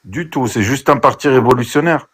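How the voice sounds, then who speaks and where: A man's voice: medium pitch, a little fast, fairly bright tone. Insistent, interrupting man. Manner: insistent, interrupting, with hesitations and restarts. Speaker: live debate participant. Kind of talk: heated political argument.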